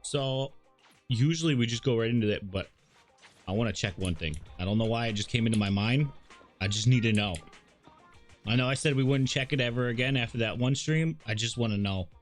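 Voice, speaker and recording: A man's voice: mid-range voice, a little fast. A man livestreaming a game in a casual, irreverent way, slangy and sweary as he reads dialogue and reacts. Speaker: streamer. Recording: game music behind the voice.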